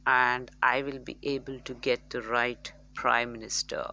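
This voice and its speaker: A woman's voice: extremely low pitch, level tone, measured pace, bright, forceful tone, dry recording, exaggerated voice. A woman voicing a male lion king in a children's story, deliberating in a self-assured way. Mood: pondering. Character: male lion king weighing a difficult decision.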